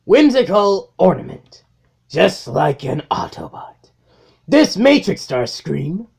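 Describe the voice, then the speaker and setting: Man acting out slow, gravelly robot warlord. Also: high-pitched, full character voice. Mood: sneering. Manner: slow, theatrical, menacing monologue. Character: domineering robot warlord, male, coldly mocking his underling. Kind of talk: amateur comic dub acting.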